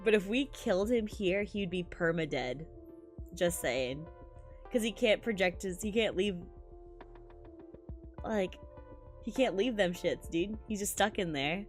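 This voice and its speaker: A woman's voice: mid-range voice, expressive, a little slow, pauses often. A woman game streamer giving casual, slangy reaction commentary with exclamations and swearing. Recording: music behind the voice. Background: game music.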